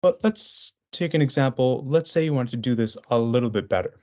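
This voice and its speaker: A man's voice: medium pitch, brisk, darkish tone. A man presenting a business webinar over a video call. He talks conversationally through his slides, with frequent fillers and restarts.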